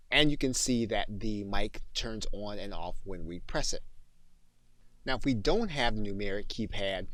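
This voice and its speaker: A man narrating an instructional screencast as a software trainer, explaining things methodically, step by step. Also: on the low side, dry recording.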